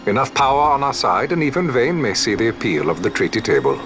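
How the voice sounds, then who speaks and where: A resolute resistance commander, played by a man, his formal lines rising and falling with lively pitch. A loud orchestral score swells beneath him in this game cutscene. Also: fast, music in the background, lightly acted. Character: determined male resistance commander, calculating. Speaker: resistance leader.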